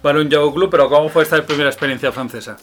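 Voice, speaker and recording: A man's voice: a little high, racing, a bit bright. Conversational male interviewer from radio.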